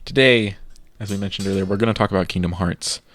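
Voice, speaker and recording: A man co-hosting a casual podcast, steering the conversation in a measured way. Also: lowish voice, a bit bright. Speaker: podcast co-host.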